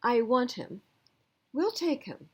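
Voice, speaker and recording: A woman's voice: on the high side, big pitch swings, slow pace, slightly rough, muffled recording, pitched above the performer's own voice, full character voice. A woman voicing an earnest, eager young boy in a storybook read-aloud.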